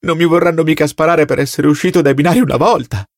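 A man's voice: mid-range voice, animated, dry recording, studio quality, close to an everyday voice. A man voicing a plump, servile, agitated party loyalist who whines and pleads in an audiobook. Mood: anxious, pleading. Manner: whining, rapid-fire questions with tag words.

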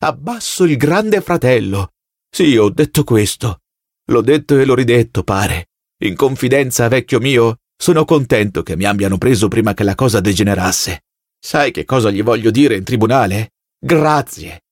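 A man's voice: mid-range voice, expressive, no room sound, studio quality. A man voicing a plump, servile, agitated party loyalist who whines and pleads in an audiobook. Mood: mournful pride. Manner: whining, rapid-fire questions with tag words.

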